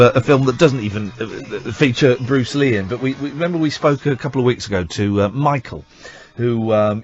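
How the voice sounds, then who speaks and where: Effusive British radio host, animated pitch. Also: male voice, breathy edge, no room sound, lo-fi sound. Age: middle-aged. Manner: effusive, emphatic, repeating words. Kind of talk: enthusiastic, rambling radio monologue and interview.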